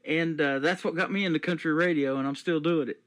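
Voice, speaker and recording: A chatty male radio host in his fifties with a notably high voice. He leads a relaxed interview with easy enthusiasm, sprinkling in fillers as he talks. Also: a little fast. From United States.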